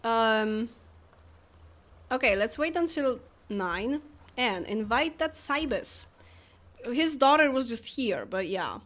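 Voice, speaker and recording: A young woman with a slightly high voice, chatting through a live game stream. She laughs often and talks to the characters on screen as she plays. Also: sing-song, slow, pauses often, a bit bright. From Poland. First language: Polish. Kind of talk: casual live game commentary.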